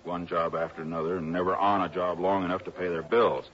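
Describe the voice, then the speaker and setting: Disapproving American insurance man, lowish voice, emphatic confiding gossip, dull old-time radio sound. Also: little pitch movement, quick pace, fairly bright tone. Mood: reluctant, frank. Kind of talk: 1960s radio drama acting. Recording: muffled vintage radio recording.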